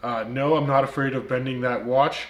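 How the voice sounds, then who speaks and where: Guy w/ a bit of a turkish accent, lots of um and uh, dry comebacks, mid pitch, echoey room. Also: fairly even intonation, normal speed, fairly bright tone. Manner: many ums and uhs, wry comebacks. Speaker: watch reviewer, channel host. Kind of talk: casual vlog commentary.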